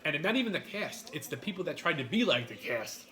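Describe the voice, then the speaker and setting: A man with a New York accent chats loosely while eating, joking and rambling between bites. His voice sits a bit high for a man. He swears casually as he goes. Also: very animated, a little fast, sharp tone. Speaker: mukbang host.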